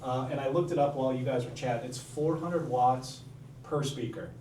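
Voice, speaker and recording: A man's voice: mid-range voice, normal speed, darkish tone, far from the mic. A man giving casual technical product details as an audio dealer. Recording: roomy, off-mic, faint background noise.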